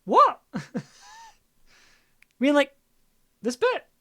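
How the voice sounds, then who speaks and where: A woman chatting casually on a livestream while she edits audio, with an expressive, giggly and effusive manner. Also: high-pitched, extremely slow. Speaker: audio drama producer and livestream host.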